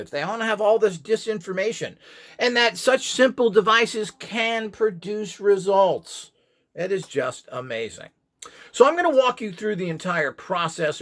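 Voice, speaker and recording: A man giving an unscripted, explanatory instructional talk in an opinionated, dismissive and somewhat halting manner. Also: high-pitched, a bit bright. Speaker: instructor presenting a how-to video.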